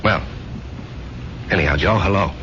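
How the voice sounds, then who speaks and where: An actor playing an earnest, self-doubting salesman husband in a radio drama, speaking haltingly. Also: low-pitched, natural voice. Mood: nervous, insistent. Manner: halting, earnest, repeats himself. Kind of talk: 1970s radio drama acting. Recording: muffled, noisy old radio drama recording.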